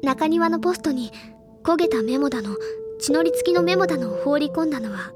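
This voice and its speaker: A woman acting a bookish, earnest teenage schoolgirl sleuth in an audio drama, insistent and explaining things deliberately in feminine phrasing. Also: high voice, animated. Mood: solemn, deducing. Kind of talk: Japanese audio drama acting, accusatory monologue. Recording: loud music under the voice.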